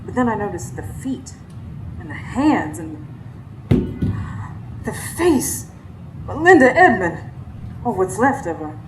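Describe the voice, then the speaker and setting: A woman's voice: medium pitch, very animated, takes it slowly, long pauses. A woman playing a sassy, superstitious Southern woman in a live radio drama, narrating her own confrontation dramatically. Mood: horrified. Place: studio before live audience. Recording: roomy live stage, faint noise.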